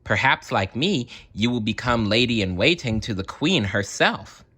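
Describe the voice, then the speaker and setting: A man voicing a prim, dismissive storybook mother who talks in a measured, condescending way. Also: medium pitch, lively intonation, sharp tone. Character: dismissive mother of a would-be knight, prim lady.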